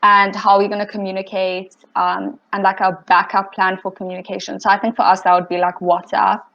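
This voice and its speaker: A woman's voice: medium pitch, level tone, a little fast, gravelly, a little hiss or hum. A young woman with a slight British accent, explaining a group assignment in a rambling way with lots of "like" and "um" on a video call. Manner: rambling, many fillers like "like" and "um". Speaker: student in project group. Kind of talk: casual video call meeting. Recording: muffled video call audio.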